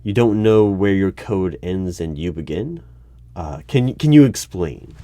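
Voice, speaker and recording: A man playing a methodical, puzzled hiring manager in a one-sided interview roleplay, halting as he asks careful clarifying questions. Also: deep voice, animated, warm tone, no echo. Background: computer keyboard typing and clicks.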